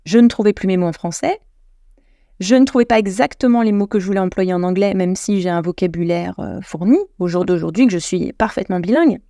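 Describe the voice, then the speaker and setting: French woman host, solo podcast chat, self-correcting, warm mellow voice. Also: medium pitch, a little fast, no room sound, studio quality. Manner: chatty, self-deprecating, many restarts and self-corrections.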